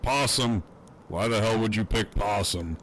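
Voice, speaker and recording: A man's voice: fairly low pitch, measured pace, a bit bright, a little hiss or hum. A man playing a cocky, crude prisoner who teases in wry, swaggering banter in an audio drama. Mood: teasing. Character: cocky, crude, teasing imprisoned man on a walkie-talkie. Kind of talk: fan audio drama acting.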